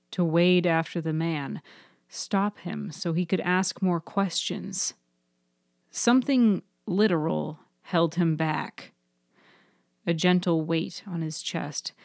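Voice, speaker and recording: A woman reading scripted literary fiction for a podcast, with measured, deliberate phrasing. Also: sits low, gravelly, no echo, studio quality. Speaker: writer-narrator of fiction podcast.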